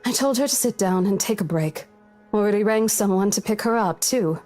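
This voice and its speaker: A woman's voice: medium pitch, expressive, a little fast, warm tone, faint music behind, close to an everyday voice. A woman playing a polite, placating estate agent in a visual novel game, apologetic and professional in manner.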